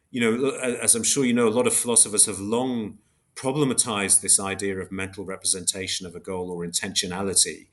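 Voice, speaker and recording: A man's voice: on the low side, fairly bright tone. A man explaining concepts as an academic interview guest, in a precise but hesitant way, often correcting himself. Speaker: philosopher guest, book author.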